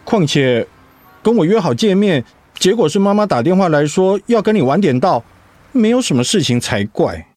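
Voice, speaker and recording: An actor in a Mandarin radio drama playing a devoted, protective young husband who speaks in a tender, coaxing, sincere way. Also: male voice, high voice, big pitch swings, moderate pace, darkish tone, dry recording, soft background music. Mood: concerned, probing.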